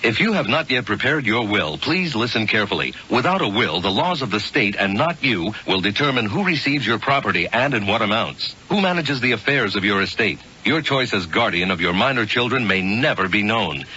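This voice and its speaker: An American man announces a radio advert in a bright, forceful voice with lively pitch. He is persuasive and emphatic, on a slightly noisy, dull broadcast recording. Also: brisk, hardly any pauses. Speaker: commercial announcer. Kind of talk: scripted direct-response radio ad read.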